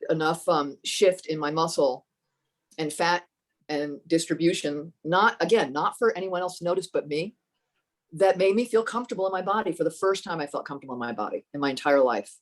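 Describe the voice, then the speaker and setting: Low-voiced reflective woman, halting speech. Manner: thoughtful, halting, with many restarts. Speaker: podcast guest. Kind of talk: reflective personal storytelling.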